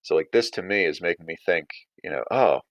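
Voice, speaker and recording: A man's voice: deep voice, fast talker, vocal fry, fairly bright tone, no echo, highs cut off. A man teaching a writing lesson in an informal, conversational way, thinking aloud as he explains. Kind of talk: casual writing lesson and story read-aloud.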